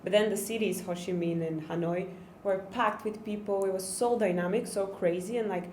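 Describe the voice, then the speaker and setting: A woman's voice: medium pitch, fairly even intonation, normal speed, darkish tone. A woman in her twenties from Bulgaria, a podcast guest chatting enthusiastically and saying "like" often. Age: 20s. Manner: chatty, enthusiastic, many likes. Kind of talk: casual podcast chat. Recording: echoey room with faint noise.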